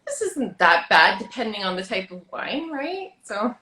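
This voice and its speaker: A woman in her thirties hosting a casual interview, chatty and giggly, firing off light quick questions. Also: mid-range voice, very animated, sharp tone. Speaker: host. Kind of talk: casual video chat interview. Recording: echoey room.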